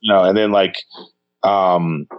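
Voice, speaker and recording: A man's voice: low-pitched, a little slow, clean tone. Guy riffing on a podcast, jokey, keeps restarting, kinda muffled. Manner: animated, jokey, trailing off into restarts. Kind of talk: casual podcast chat. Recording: dull lo-fi sound.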